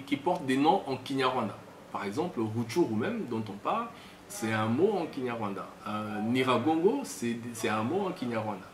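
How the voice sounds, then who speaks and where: A man with a Congolese accent gives an unscripted explanatory talk, teaching step by step and often repeating himself. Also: medium pitch. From DR Congo, Kasai region. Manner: didactic, step-by-step with repetition. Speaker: commentator on history. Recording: echoey room, faint background noise.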